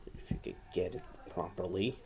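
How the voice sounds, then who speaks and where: A man giving a casual hands-on review in a halting, enthusiastic way, repeating and restarting phrases and stressing key words. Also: sits low, gravelly, crowd noise. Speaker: toy reviewer. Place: indoor room at home, seated at a table.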